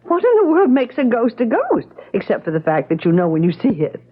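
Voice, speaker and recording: An actress playing a curious, determined wife who acts and narrates in a radio drama. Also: female voice, fairly high pitch, huge pitch swings, brisk. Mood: bright, curious. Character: curious, determined American wife, narrating her ghost story. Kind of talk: radio drama acting and first-person narration. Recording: lo-fi radio drama broadcast, music behind.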